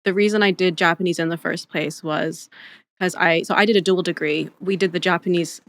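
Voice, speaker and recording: A young woman, a literary translator, telling her story in a chatty, animated way with lots of fillers. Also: on the low side, fairly quick, dry recording. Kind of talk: casual conversational interview.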